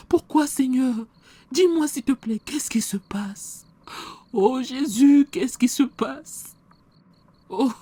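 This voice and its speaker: A woman playing a devout male pastor who prays earnestly and calms the people around him. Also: mid-range voice, slightly rough. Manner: soothing, slow, earnest praying. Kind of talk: church skit acting.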